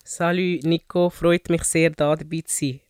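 A woman with a Swiss German accent talks chattily as an interview guest, stopping often on little fillers. Her voice is smooth and resonant. The recording is close and dry. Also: deep voice, fairly even intonation, normal speed, darkish tone, dry recording. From Switzerland, born in Zurich. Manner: chatty, frequent hesitation fillers. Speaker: podcast guest, new board member. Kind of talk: casual interview chat.

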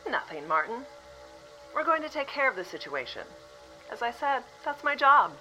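A composed official from the future, calmly delivering terrible news, played by a woman. Her voice is bright and lively in pitch. She stays matter-of-fact and politely apologetic, with a faint music bed behind. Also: moderate pace, low-quality recording. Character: calm female official from the future. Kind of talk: audio drama acting.

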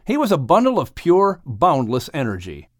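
Animated male storybook narrator. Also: on the high side, normal speed, no echo, clean studio sound. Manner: dramatic, expressive storytelling. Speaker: audiobook narrator. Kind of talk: scripted children's story narration.

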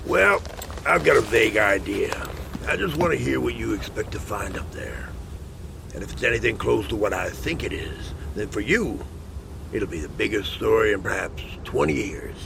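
An actor playing a gruff, boastful older hunter and former harpooner in a western audio drama, folksy and self-assured. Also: male voice, fairly low pitch, animated, moderate pace, noisy background. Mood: ominous, resolute.

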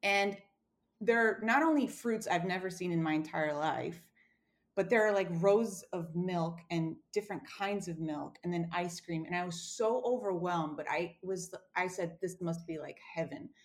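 A woman's voice: fairly low pitch, normal speed. A woman from Romania, a cookbook author, telling a personal story in a casual interview, with many fillers and restarts.

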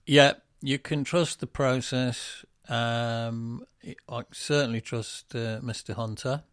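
A man's voice: mid-range voice, fairly even intonation, slow pace, fairly bright tone, smooth, no echo, studio quality. A man co-hosting a casual podcast, rambling with lots of ums and self-deprecating asides. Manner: breaks into quoted voices for jokes. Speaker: musician and podcast co-host.

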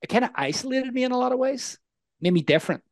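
A man in his thirties with a Northern Irish accent, telling his story as a coaching guest in an earnest, energetic way. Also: very high-pitched, fast talker, dry recording, clean studio sound. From Northern Ireland. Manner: energetic, earnest, asks the listener questions. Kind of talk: casual interview storytelling.

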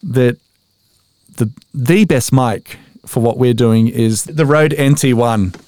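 A man's voice: medium pitch, takes it slowly, darkish tone, no echo. Self-deprecating Australian man, close dry sound. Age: 40s. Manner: chatty, self-deprecating, tells anecdotes with acted-out quotes. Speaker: voice-over artist being interviewed. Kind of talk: casual podcast interview.

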